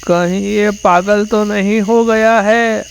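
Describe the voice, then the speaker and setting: A man playing an anxious, pleading drunkard who frets over his friend in an animated horror story. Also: sits high, takes it slowly, vocal fry, a little hiss or hum, heavily acted. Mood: puzzled.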